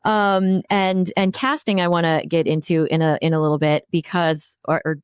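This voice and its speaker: A woman's voice: on the low side, little pitch movement, moderate pace, clean tone, no echo, clean studio sound. A woman hosting a podcast interview in a conversational, informal way, thinking aloud as she frames her questions. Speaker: podcast host interviewing a producer.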